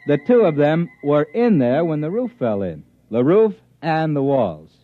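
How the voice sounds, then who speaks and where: A man's voice: on the high side, sing-song, a little slow, dry recording. A man narrating his own story in a folksy, rambling way for a 1950s radio drama. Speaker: narrator playing himself. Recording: dull old radio broadcast with music.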